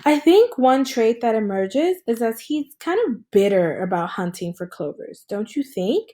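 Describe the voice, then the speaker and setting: A woman reading a story aloud as a teacher and thinking out loud, checking in with listeners in an instructional way. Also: fairly high pitch, very animated, a little slow. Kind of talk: read-aloud lesson with think-aloud commentary.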